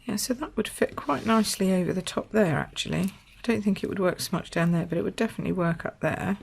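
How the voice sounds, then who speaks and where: A woman from the UK, slightly croaky, demonstrating a papercraft project at her table in a chatty, think-aloud way. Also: fairly low pitch, quick pace. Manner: chatty, thinks aloud with fillers and small apologies. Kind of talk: casual craft tutorial. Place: indoors at a craft table.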